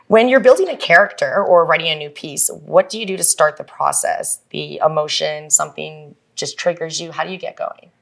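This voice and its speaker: A woman's voice: deep voice, normal speed, gravelly, a bit bright. A woman hosting a casual interview in an enthusiastic, slangy way. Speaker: interview host.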